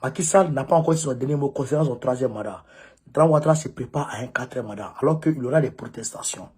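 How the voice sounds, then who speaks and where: A male political commentator speaks in an informal monologue with a West African French accent. His voice is husky and a little rough, at a middle pitch. He is emphatic, repeating phrases to drive them home. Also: fast, darkish tone.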